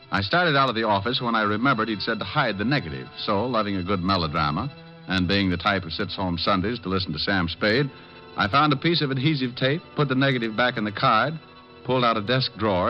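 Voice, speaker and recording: An actor playing a sardonic American private detective in old-time radio drama, narrating and talking in a dry, flippant manner. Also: male voice, on the low side, close to an everyday voice. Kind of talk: 1940s-50s radio detective narration and acting. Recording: dull old-time radio, music behind.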